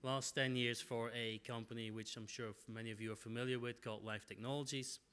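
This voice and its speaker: A middle-aged man from Belgium giving a conference presentation in a relaxed way, with frequent fillers and restarts. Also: medium pitch, brisk, clear, resonant tone. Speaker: conference speaker.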